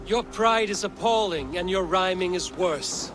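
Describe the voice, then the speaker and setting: Slightly high male voice, cool young Viking warrior, dry, cutting, faint music behind. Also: measured pace. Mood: mocking. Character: quick-witted cool young Viking warrior trading rhymed insults. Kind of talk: video game voice acting.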